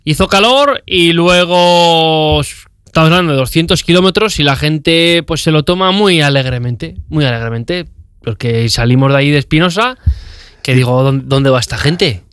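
A man, a cycling guest on a radio show, telling his ride stories in a chatty, lively way while quoting what people said. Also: on the high side, normal speed, clean tone, no room sound, studio quality. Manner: chatty, animated, retells dialogue. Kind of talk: radio interview, storytelling.

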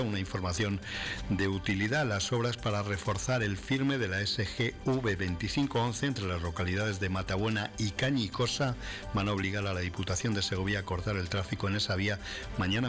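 A man reading a local radio news bulletin in a steady, formal delivery. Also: lowish voice. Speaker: radio newsreader.

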